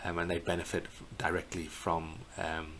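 A middle-aged man giving an unscripted slideshow narration as a tour guide, in a hesitant, halting way, recorded indoors on a computer microphone. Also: sits low, completely flat delivery, a bit bright. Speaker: bird tour guide presenting a virtual tour. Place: indoors in a small plain room. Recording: clear background noise.

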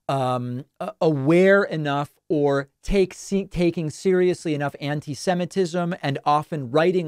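Measured male talk show host. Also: a little high, darkish tone, no echo, studio quality. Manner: measured, explanatory, lists points methodically. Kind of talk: call-in political talk show. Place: studio. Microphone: studio broadcast mic.

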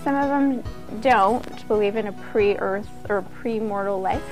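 A woman's voice: medium pitch, measured pace, darkish tone, soft background music, poor audio. A woman giving an informal instructional talk as a yoga teacher, conversational and earnest.

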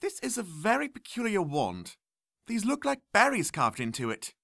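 A prim, bossy young witch, put on by a male reader, lecturing in a raised, bright, lively voice. She sounds quick to correct and fussy about rules, like a top student in a storybook. The reading is close and dry. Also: high voice, creaky, dry recording, voice pushed up, exaggerated voice.

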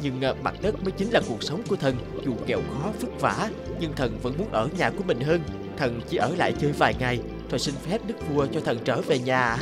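A man playing a kind, humble young orphan peasant in a cartoon, gentle and earnest. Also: mid-range voice, animated, fairly quick, hardly any pauses, over loud music, lightly acted. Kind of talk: cartoon dialogue.

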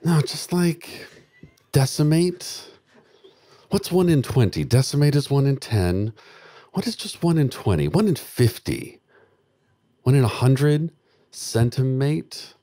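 A man's voice: medium pitch, takes it slowly, long pauses. A middle-aged man delivering a darkly comic, macabre stage monologue in a musing manner into a handheld microphone. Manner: musing, deadpan macabre, chuckling to himself. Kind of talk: dark comedy stage monologue. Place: small dark theatre, live audience. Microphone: handheld microphone close to mouth.

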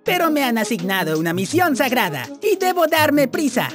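A Latin American woman voicing a cheerful, formal adventurer with a grandiose manner in an animated fan dub. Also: high-pitched, normal speed, over music. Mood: solemn. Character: cheerful, pompous, formal surreal cartoon adventurer.

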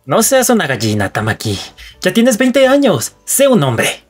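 A woman voicing an upbeat, confident, teasing twenty-year-old man with a husky voice in a cartoon fandub. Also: fairly low pitch, huge pitch swings, a little slow, faint music behind, full character voice. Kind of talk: fandub comic acting.

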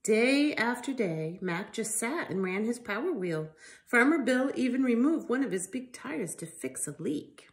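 A middle-aged woman reading a children's storybook aloud in an expressive, emphatic storytelling style. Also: fairly high pitch, measured pace. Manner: animated, emphatic delivery with sound effects. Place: living room by a fireplace, indoors.

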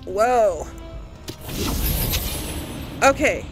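Woman, let's play host, slow-paced casual commentary, self-talk, reactive, loud game music behind. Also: on the high side, expressive. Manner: thinking aloud, reactive, self-talking. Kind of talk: casual live gameplay commentary.

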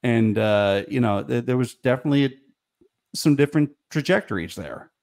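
Man, middle-aged, gravelly voice, casual anecdotes, frequent laughs, video call. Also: mid-range voice, normal speed, a little mellow. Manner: storytelling, laughs often, restarts. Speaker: guest, comedy writer. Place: small room at home. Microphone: studio mic on video call.

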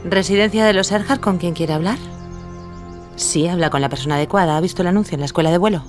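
A woman's voice: lowish voice, fast talker, music in the background, natural voice. A woman playing a bold, stubborn, plucky young aviator in an audio drama. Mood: irritated, firm.

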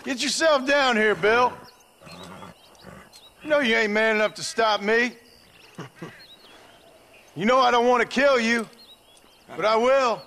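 An actor playing a hardened former outlaw in a Western video game, terse, dry, wry and defiant. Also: male voice, very high-pitched, a little slow, pauses often, sharp tone, slight background noise, heavily acted. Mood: threatening. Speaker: game protagonist.

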